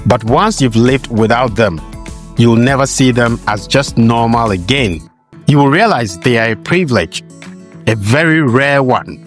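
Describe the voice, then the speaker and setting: A man, the host, reading a scripted narration for a travel video in a steady, measured way. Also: mid-range voice, faint music behind.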